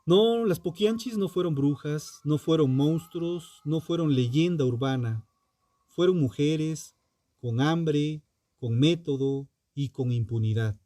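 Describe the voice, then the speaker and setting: A man narrating a scripted true crime podcast in a dramatic, solemn and deliberate manner, with ominous rhetorical questions. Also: a little high, dark tone, no room sound.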